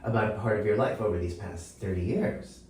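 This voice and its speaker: A man hosting a podcast, asking encouraging questions in an animated interview. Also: lowish voice, brisk, a little hiss or hum. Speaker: podcast host. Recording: very echoey room, mic at a distance.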